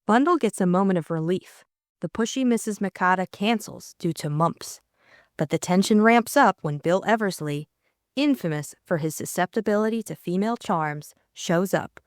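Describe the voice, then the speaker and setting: A woman's voice: mid-range voice, no room sound, studio quality. A woman reading a scripted mystery recap and novel as an audiobook co-narrator, in dramatic style.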